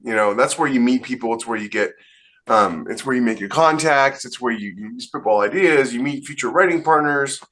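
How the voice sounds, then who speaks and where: Guy on a podcast, middle pitch, casual but opinionated, handing out tips. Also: a little fast, fairly bright tone. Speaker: podcast co-host. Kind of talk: casual chat, giving advice.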